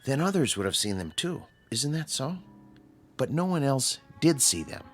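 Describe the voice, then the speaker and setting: An actor in a radio drama playing a patient psychiatrist who explains and probes with measured, calm authority. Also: male voice, mid-range voice, lightly acted. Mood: patient, probing. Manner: measured, didactic, probing. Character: patient, reasoning male psychiatrist, calmly authoritative. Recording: radio drama with music underneath.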